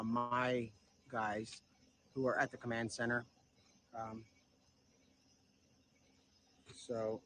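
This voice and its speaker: Man livestreaming casually over noisy background. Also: medium pitch. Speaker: livestream host. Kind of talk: casual live chat. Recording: noisy live stream with insect chirps.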